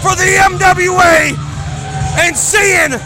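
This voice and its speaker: A man calling indie wrestling matches with shouted, hyped play-by-play while losing his voice. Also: extremely high pitch, steady tone, slow pace. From Kentucky. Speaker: wrestling commentator. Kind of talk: excited play-by-play commentary. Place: indie wrestling event venue. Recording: loud crowd and arena music behind.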